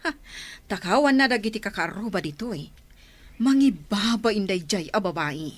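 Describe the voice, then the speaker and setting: A woman playing a spiteful, two-faced middle-aged mother in an audio drama, her voice husky. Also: medium pitch, animated, moderate pace. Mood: scornful. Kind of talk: melodramatic audio drama acting.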